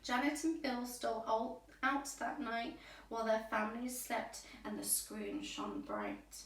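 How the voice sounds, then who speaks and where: A woman storyteller reading a rhyming children's bedtime story aloud with deliberate, expressive phrasing. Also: fairly high pitch. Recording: roomy echo, distant microphone.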